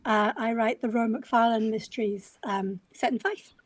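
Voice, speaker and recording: A woman's voice: fairly high pitch, steady tone, normal speed, no room sound. A woman from Scotland, a crime writer speaking on a panel over a video call, modest and slightly hesitant. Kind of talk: panel discussion.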